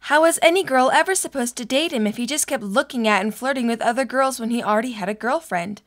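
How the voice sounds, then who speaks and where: A woman's voice: on the high side, fast talker. A young adult woman reading scripted story narration for an audio drama.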